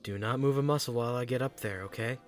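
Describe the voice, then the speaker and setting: A man playing a calm, protective young knight. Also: medium pitch, quick pace, faint music behind, lightly acted. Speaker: voice actor. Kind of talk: audio drama acting.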